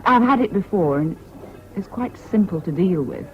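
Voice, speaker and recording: A woman's voice: medium pitch, expressive, normal speed, a little mellow, close to an everyday voice. An actress playing a bitter, scornful, fiercely independent British woman in a 1970s radio drama, with a cutting, sardonic manner. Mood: weary, wry. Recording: dull, noisy old radio recording.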